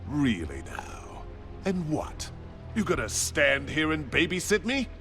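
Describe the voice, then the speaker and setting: Arrogant british game villain, sneery, sharp voice, pitch jumps around a lot. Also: male voice, huge pitch swings, slow, vocal fry, faint music behind, full character voice. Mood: sneering. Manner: sneering, condescending. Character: arrogant, sneering, impatient male rich bully. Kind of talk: video game voice acting.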